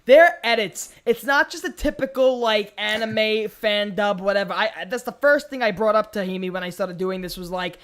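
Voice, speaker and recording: A man hosting a podcast in casual comedic chat, crude and excitable, swearing freely and repeating his phrases. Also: high-pitched, moderate pace, hardly any pauses. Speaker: podcast host.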